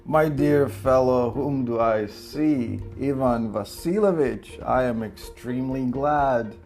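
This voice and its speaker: A man's voice: mid-range voice, slow, darkish tone, soft background music. A man reading a play aloud and voicing an effusive older landowner who fawns over his guest with endearments. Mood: gushing. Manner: gushing, calls everyone darling and angel.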